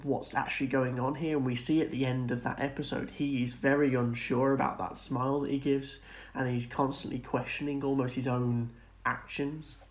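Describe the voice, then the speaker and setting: A man's voice: mid-range voice, flat delivery, moderate pace, hardly any pauses. A man co-hosting a podcast, chatting casually and earnestly as he speculates about film and TV. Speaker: podcast co-host.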